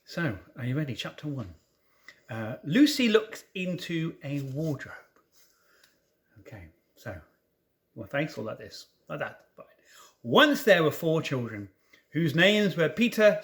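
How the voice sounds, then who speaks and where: A man reading a children's story aloud in an expressive way, with informal asides to listeners. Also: on the high side, measured pace. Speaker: storybook reader.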